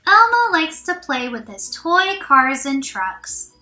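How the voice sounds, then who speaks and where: A woman reads a children's storybook at a slow pace, as a teacher does for young listeners. Her voice is high and very lively, and she adds encouraging asides. The room is echoey. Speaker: teacher reading aloud. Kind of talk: children's storybook read-aloud. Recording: roomy echo, faint music.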